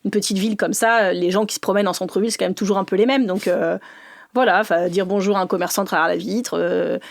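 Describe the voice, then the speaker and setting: A woman from the north of France, a politician guest in an interview, talking fast and animated with laughing asides and many restarts. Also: mid-range voice, creaky, no echo, studio quality. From northern France, mining basin. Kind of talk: lively conversational interview.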